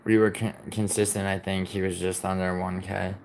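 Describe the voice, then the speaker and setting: A man's voice: deep voice, little pitch movement, moderate pace, a little mellow, a little hiss or hum. A man who was born in Italy and raised in New York, chatting casually on a live stream and answering his viewers' messages in a rambling, profane way, with many fillers and restarts.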